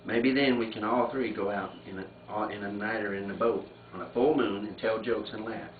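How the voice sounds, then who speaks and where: A man telling stories and reading aloud informally among family, animated and often breaking into laughter. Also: on the low side, a little fast. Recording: muffled phone-like audio, echoey, distant, noisy room.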